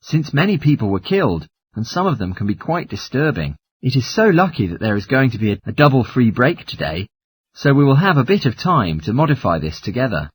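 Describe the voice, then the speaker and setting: A man's voice: mid-range voice, very animated, a little fast, breathy edge. A man playing an agreeable geography student in a scripted listening-test study dialogue. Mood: agreeable, reasoning. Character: male geography student, agreeable, a little nervous.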